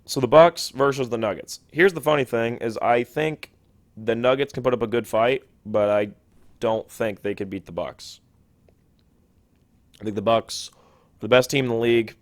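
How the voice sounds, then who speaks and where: A young man hosting a sports podcast alone, talking quickly and casually, often correcting himself mid-sentence. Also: medium pitch, dry recording. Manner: quick, self-correcting and chatty. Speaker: podcast host. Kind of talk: solo sports podcast talk.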